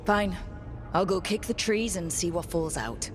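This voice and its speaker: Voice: medium pitch, measured pace, over music, natural voice. An actor playing a determined, blunt team leader in a fantasy video game, direct and plain-spoken. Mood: impatient. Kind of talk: video game cutscene acting.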